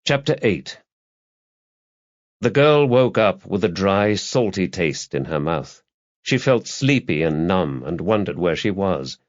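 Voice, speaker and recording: A low-pitched male narrator reads a crime thriller novel as a scripted audiobook. The recording is very dry, with a dull, lo-fi sound. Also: sing-song, takes it slowly, no room sound.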